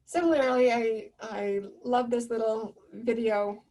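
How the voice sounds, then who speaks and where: Soft-spoken woman presenter, gentle and reflective, slightly high voice, a bit distant from the computer mic. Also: steady tone, measured pace. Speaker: webinar presenter on loneliness. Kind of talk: webinar presentation.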